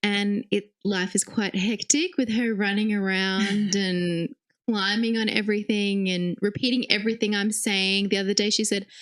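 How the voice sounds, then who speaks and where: Woman co-host, friendly and light, mid-pitched. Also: fairly even intonation, normal speed. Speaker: podcast co-host. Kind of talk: casual chat.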